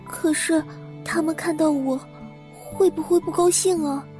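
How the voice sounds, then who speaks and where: Audio drama heroine, female actor: timid twenty-five-year-old, high and mellow, introspective narration, echoey space. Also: fairly even intonation, unhurried, faint music behind. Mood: apologetic, hesitant. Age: young adult. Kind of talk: Mandarin audio drama acting with first-person narration.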